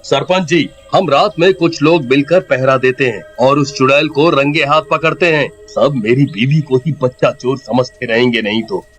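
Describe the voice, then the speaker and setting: An actor playing a protective, determined village husband, speaking urgently in an animated story. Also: male voice, medium pitch, normal speed, hardly any pauses, soft background music, close to an everyday voice. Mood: indignant.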